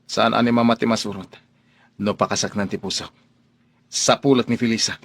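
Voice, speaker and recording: A man's voice: on the low side, fairly even intonation, fairly quick, vocal fry. A man playing an anxious young man who misses his sweetheart in a radio drama, earnest and worried.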